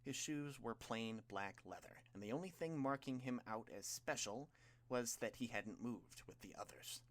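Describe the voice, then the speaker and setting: A man's voice: mid-range voice, normal speed, a bit bright. A man narrating a scripted story as an audiobook reader. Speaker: audiobook reader of fan fiction.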